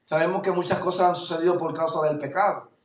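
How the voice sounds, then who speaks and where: A male pastor from Puerto Rico with a Puerto Rican accent, preaching a fervent sermon that swings between loud declarations and low asides. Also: steady tone, fast. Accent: Puerto Rican Spanish. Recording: echoey room, voice from far off mic.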